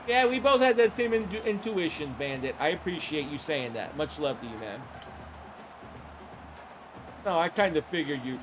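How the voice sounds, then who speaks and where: Hoarse high-voiced stream commentator. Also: male voice. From Florida. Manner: chatty, greets viewers by name, excited bursts on big plays. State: hoarse from the flu. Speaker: streamer calling a simulated football game. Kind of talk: live-stream play-by-play commentary.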